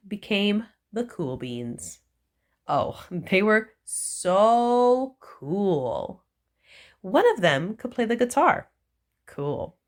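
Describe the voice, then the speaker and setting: A woman reading a children's picture book aloud, with slow, playful storytelling and animated emphasis. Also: fairly low pitch.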